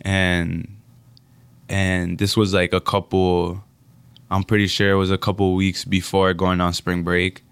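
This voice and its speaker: A young man from the Dominican Republic, a rapper being interviewed, speaking reflectively and haltingly with many fillers. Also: low-pitched, completely flat delivery, smooth, no echo. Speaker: rapper guest. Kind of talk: casual interview.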